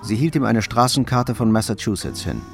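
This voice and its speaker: A man's voice: medium pitch, animated, brisk, gravelly, faint music behind. A man narrating a scripted crime story in a dry, descriptive manner.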